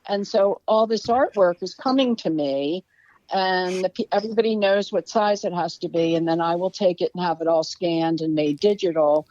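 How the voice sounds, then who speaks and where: A woman's voice: fairly low pitch, moderate pace, dry recording, dull audio. An older woman with a Baltimore accent, chatting as a guest author on a podcast. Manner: chatty, warm storyteller. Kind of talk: casual podcast conversation.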